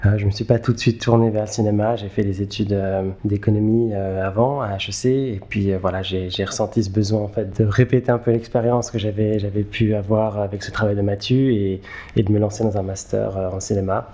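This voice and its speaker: A man, giving halting, conversational interview answers and repeating words as he thinks. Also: fairly low pitch, steady tone, dark tone. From Geneva, Switzerland. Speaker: interview guest, filmmaker.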